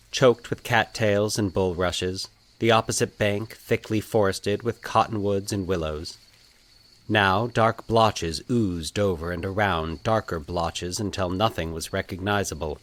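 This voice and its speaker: Fiction podcast narrator: man with a fairly deep voice, measured and dramatic delivery of scripted prose.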